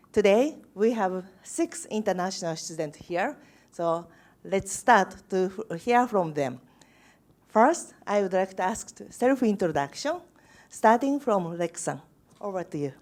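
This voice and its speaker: A woman's voice: mid-range voice, a little mellow. A woman moderating a university video, formally introducing international students one by one. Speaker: faculty moderator.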